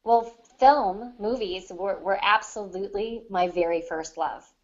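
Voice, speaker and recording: An American woman from the Ozarks in a casual book discussion as a co-host, often pausing and rephrasing mid-thought. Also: mid-range voice, muffled recording. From the Ozarks, United States. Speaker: podcast co-host.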